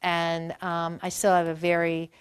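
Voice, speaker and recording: A woman's voice: on the low side, monotone, measured pace, clean tone, dry recording. Chatty woman, dry close sound. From Maine. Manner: chatty, rambling with many fillers and restarts. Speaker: performer and guest. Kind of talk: conversational interview storytelling. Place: public library talk.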